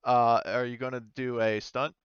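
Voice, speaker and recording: A man's voice: medium pitch, level tone, normal speed, clean tone, no echo, muffled recording. A man acting as game master of a tabletop roleplaying game, casually thinking aloud as he talks through rules and turns.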